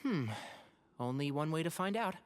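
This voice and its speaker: An actor playing a polite, earnest young scholar with medical training in a video game. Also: male voice, a little high, lively intonation, moderate pace, a little hiss or hum, lightly acted. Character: thoughtful young male scholar and healer. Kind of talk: game voice acting.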